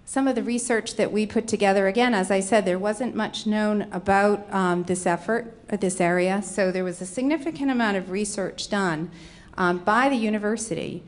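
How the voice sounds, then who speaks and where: A middle-aged woman with a Rhode Island accent speaks as a conference panelist. She explains her slides one at a time in an even, mid-range voice. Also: mid-range voice, moderate pace. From Rhode Island, USA. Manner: explanatory, walking through slides. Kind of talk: conference presentation.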